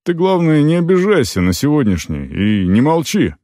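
A man's voice: a little high, sing-song, normal speed, mellow, no room sound, clean studio sound. Man reading as a brash, eager orc mercenary. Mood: eager, impressed. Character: brash, eager male orc killer-for-hire writing a letter.

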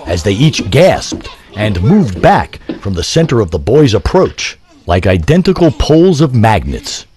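A man's voice: lowish voice, sing-song, a little slow, darkish tone. A man narrating an audio drama in a grandiose, literary, theatrical style. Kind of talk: theatrical scripted story narration.

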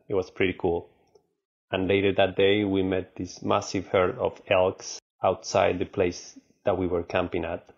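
Deep, gravelly man in conversation. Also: darkish tone, muffled recording. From Argentina. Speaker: podcast guest, marketing manager. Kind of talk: conversational storytelling.

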